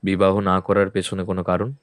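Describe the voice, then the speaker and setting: A man playing a calm, probing detective who questions people in a measured, firm way. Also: sits low, no echo, lightly acted. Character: calm probing male detective, middle-aged. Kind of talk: audio drama acting. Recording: faint background music.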